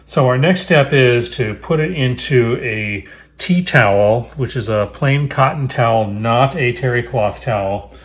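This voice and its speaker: A man in his fifties hosting a home cooking show, explaining each step in a relaxed way as he works in his kitchen. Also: medium pitch, measured pace. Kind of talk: casual cooking demonstration.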